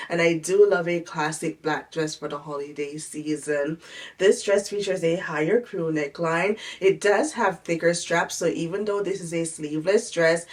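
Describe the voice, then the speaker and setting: A woman's voice: sits low, recorded from a distance. A woman vlogger sounding a little nasal from a cold, chatting through a fashion try-on haul in a friendly, descriptive way.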